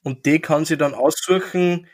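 Higher-voiced man explaining. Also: normal speed. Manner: explains with hesitations and fillers. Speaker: podcast co-host explaining hockey. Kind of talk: casual chat.

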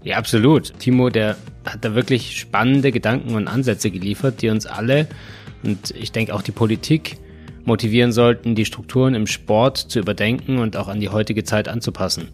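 A man's voice: fairly low pitch, brisk, soft background music. A man co-hosting a podcast, asking questions in an earnest, formal way. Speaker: podcast co-host. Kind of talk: semi-scripted podcast hosting.

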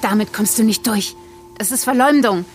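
A woman's voice: medium pitch, normal speed, music in the background, natural voice. An actress playing a cornered, desperate woman in an audio thriller. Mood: angry, threatening. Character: cornered, threatening woman turning frightened and pleading. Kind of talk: intense audio drama acting.

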